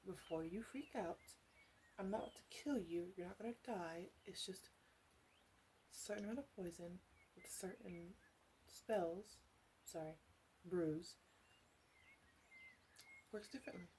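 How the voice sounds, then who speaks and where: A woman playing a flirty, teasing witch in an ASMR roleplay, coaxing the listener softly with playful asides. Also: on the low side, a little slow, long pauses, a little hiss or hum, lightly acted. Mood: reassuring, playful. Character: teasing, flirty witch in her three hundreds.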